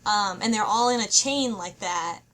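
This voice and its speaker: A woman's voice: medium pitch, moderate pace, fairly bright tone. A woman on a podcast panel, casually talking through a story for a book club.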